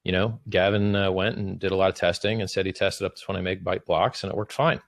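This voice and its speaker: Male debate host, very deep and gravelly, casual, filler-heavy, dry close sound. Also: steady tone, fast, dry recording. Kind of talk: spoken debate, summarizing the other side.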